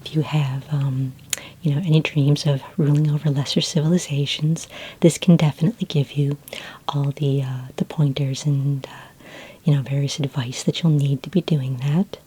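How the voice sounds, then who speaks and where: A woman speaking in a soft, breathy near-whisper, gently and unhurriedly playing a friendly, helpful librarian in an ASMR roleplay. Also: deep voice, fairly even intonation, normal speed.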